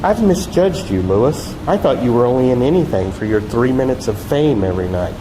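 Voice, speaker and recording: A man voicing a pompous, cynical woman politician in deliberate speech, reading from a novel. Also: medium pitch, sing-song, lightly acted. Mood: grudging. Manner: slow, deliberate. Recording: echoey hall with loud background noise.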